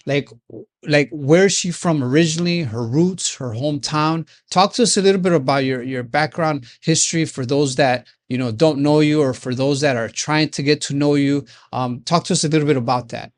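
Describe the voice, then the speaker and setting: A man's voice: fairly high pitch, normal speed. A man from Chicago hosting an interview, talking in a loose, rambling way that is full of repeated words and restarts. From Chicago, United States. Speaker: podcast host. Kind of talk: rambling casual interview chat.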